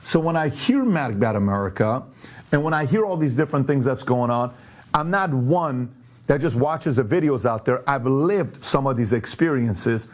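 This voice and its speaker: A man born and raised in Iran hosts a commentary show, posing pointed questions and walking through charts in an emphatic, animated way. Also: mid-range voice, moderate pace. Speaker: show host.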